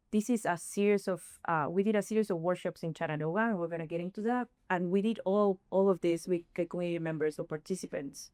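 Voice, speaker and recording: A woman from Chile with a Chilean Spanish accent, leading a workshop session and often pausing to search for words. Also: on the low side, darkish tone, dry recording. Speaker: workshop presenter.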